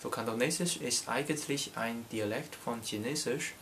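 A man's voice: mid-range voice, a bit bright, a little hiss or hum. A young man from Hong Kong, a native Cantonese speaker, giving a casual language lesson in a small bedroom, talking with many hesitations into a camera in front of him. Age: young adult. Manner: slow, halting, many hesitations. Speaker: language teacher. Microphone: camera in front at desk distance.

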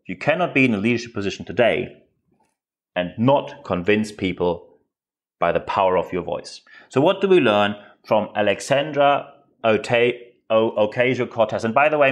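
German-accented male podcast host, emphatic delivery. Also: medium pitch. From northern Germany. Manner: emphatic, rhetorical questions and repetition. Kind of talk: unscripted solo podcast commentary.

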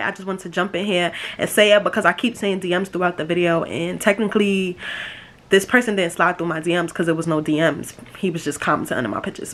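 A young woman from Brooklyn, telling a story in a slangy, swearing manner, sounding nasal and congested from a head cold, on a webcam in a small bedroom. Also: medium pitch. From Brooklyn, New York. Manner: brash, slangy and animated, swearing freely. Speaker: YouTuber. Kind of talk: casual storytime chat to camera.

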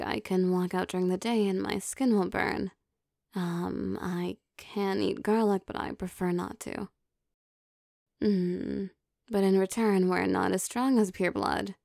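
A woman acts out a teasing, possessive vampire girlfriend in an intimate ASMR roleplay. She takes her time, coaxing softly, with a gravelly fry in her voice. The sound is close and very dry. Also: medium pitch, dry recording. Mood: teasing, fond. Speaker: voice actor.

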